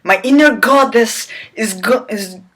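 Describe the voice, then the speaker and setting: A woman's voice: a little high, unhurried, fairly bright tone, breathy edge. A woman giving a theatrical, mocking dramatic reading of a novel, with incredulous asides. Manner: theatrical, incredulous, breaks off into laughing commentary. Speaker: reader.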